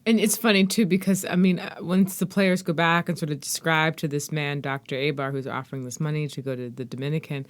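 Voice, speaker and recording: A woman hosting a podcast interview, talking in a relaxed conversational way with a few "um"s. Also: sits low, a little fast, hardly any pauses. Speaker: podcast host. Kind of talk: casual interview chat.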